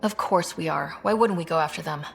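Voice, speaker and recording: A woman's voice: brisk, vocal fry. A woman playing an assured, direct expedition commander in a video game. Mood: stern. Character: young woman pathfinder, steady, teasing expedition commander. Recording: faint engine rumble behind.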